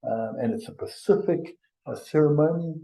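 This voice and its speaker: A man from Samoa, giving an informal webinar presentation in a halting, conversational way with frequent pauses. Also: fairly high pitch, vocal fry, dark tone, low-quality recording. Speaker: health and safety presenter.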